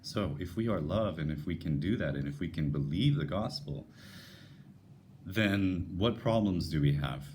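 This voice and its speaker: A man with an unusually deep, radio-like voice, talking earnestly and musing to his viewers about faith, with frequent restarts. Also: lively intonation, moderate pace, a little mellow, a little hiss or hum. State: voice lowered by a passing illness. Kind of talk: unscripted devotional talk to viewers.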